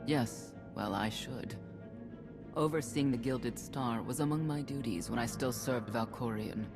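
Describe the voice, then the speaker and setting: A video game veteran, an older female officer with an exceptionally low voice. She is curt, sober and guarded, doubting what she hears, with music playing behind her. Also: unhurried. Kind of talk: cinematic video game voice acting.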